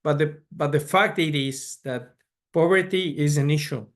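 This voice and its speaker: Measured man lecturing. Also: on the high side. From Ecuador. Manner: measured, frequent restarts and repeated words. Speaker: pediatric neurologist lecturer. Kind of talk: conference lecture.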